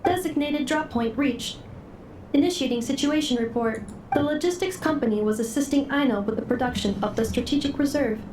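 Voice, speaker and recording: A woman's voice: high-pitched, brisk, darkish tone, a little hiss or hum, natural voice. A woman voicing a flying female robot courier who speaks in a clipped, formal report style. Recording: game audio with reverb over music.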